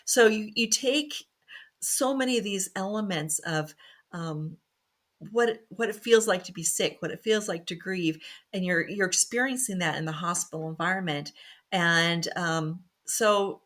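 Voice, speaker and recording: A woman's voice: fairly low pitch, measured pace, a bit bright. A woman author, a former pharmacist, talking casually in an interview with many fillers and restarts and easy laughs.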